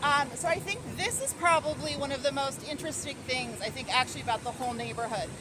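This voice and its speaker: High-voiced woman on a noisy street. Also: fast talker. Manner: earnest, thoughtful, many ums. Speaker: local resident advocate. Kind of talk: walking tour talk. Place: city street outdoors by the waterfront. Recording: loud street traffic noise. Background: traffic and construction noise.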